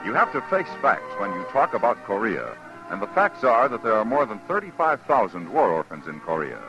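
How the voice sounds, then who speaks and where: A man announcing in a formal, measured and emphatic 1950s radio style. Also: fairly low pitch, big pitch swings. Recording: muffled vintage radio, music behind.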